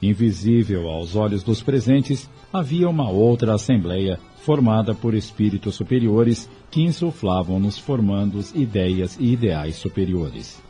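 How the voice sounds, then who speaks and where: Male narrator, lowish pitch, soft dark tone, scripted audio drama, faint music behind. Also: lively intonation, measured pace, hardly any pauses, slightly rough.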